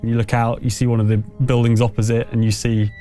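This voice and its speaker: A man acting as game master, narrating a tabletop roleplaying game in a casual, wry and slightly halting way. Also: mid-range voice, little pitch movement, warm tone. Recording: music playing behind the voice. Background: background music.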